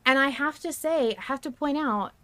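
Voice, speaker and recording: A woman's voice: high voice, moderate pace, bright, forceful tone, no room sound. A woman hosting a podcast, talking casually and emphatically as a fan with strong opinions. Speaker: podcast host discussing an audio drama.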